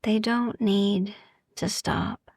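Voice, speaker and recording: A woman guiding a sleep meditation in a soft, gentle, soothing ASMR style, hushed and often slipping into whispers, with long pauses. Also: medium pitch, steady tone, no room sound, clean studio sound. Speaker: sleep meditation podcast host.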